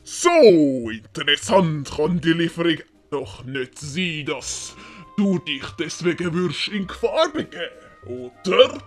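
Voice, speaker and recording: A woman playing a sly, smug gentleman villain in a comic audio play, mocking and theatrical. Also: sits low, big pitch swings, slow pace, soft background music, pitched below the performer's own voice, heavily acted.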